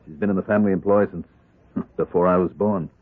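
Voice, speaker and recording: Commanding, low-voiced wealthy husband. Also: male voice, steady tone, brisk. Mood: formal, controlled. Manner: authoritative, earnest, intense. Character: commanding wealthy man, desperately devoted to his dying wife. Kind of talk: old-time radio drama acting. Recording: muffled, band-limited vintage radio recording.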